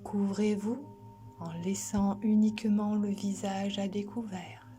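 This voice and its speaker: A woman guiding a sleep relaxation as a yoga instructor, soothing and gentle, soft and breathy, often near a whisper, with long pauses. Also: medium pitch, little pitch movement, music in the background.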